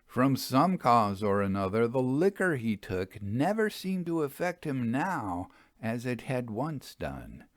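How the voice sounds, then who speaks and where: A man narrates a vintage tale of the uncanny as an audiobook reader. His delivery is slow and measured, with lively rises and falls in pitch. The sound is dry and close, free of room echo. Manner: measured, expressive storytelling. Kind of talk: scripted narration of a first-person Victorian railway ghost story.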